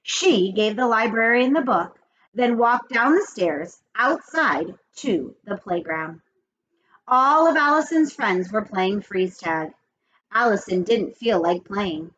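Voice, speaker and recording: A woman's voice: mid-range voice, lively intonation, slow pace, fairly bright tone. A woman reading a children's story aloud expressively, addressing young listeners. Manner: addresses young children, explaining words and asking questions. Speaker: read-aloud host. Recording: muffled lo-fi with faint noise.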